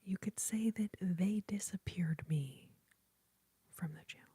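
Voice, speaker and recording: A woman whispers very close to the microphone in an airy, almost voiceless hush. Her tone is mellow and soft-edged, and she speaks in a heartfelt, grateful way. The sound is dry, with no room echo. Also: mid-range voice, a little slow, breathy edge. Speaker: ASMR YouTuber hosting a livestream. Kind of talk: whispered ASMR livestream chat.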